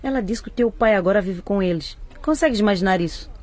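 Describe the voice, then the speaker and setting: A proud, struggling mother who sells at a market played by a woman in a radio drama. She is brusque, suspicious and quick to exclaim, in a mid-pitched voice over faint background noise. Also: darkish tone, lightly acted. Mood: scolding, indignant.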